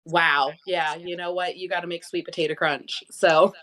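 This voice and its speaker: A woman's voice: lowish voice, fairly even intonation, normal speed, sharp tone. A young adult woman co-hosting a show, chatting casually in a chatty, enthusiastic way. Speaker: co-host. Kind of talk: casual chat.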